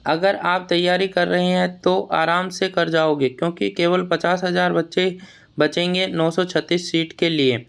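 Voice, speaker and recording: A man with a high-pitched, clean and smooth voice that stays within a narrow, even range. He explains things informally to students as an exam news vlogger. His delivery is plain-spoken and admonishing, with words and phrases often repeated. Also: measured pace. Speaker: exam news vlogger advising job aspirants.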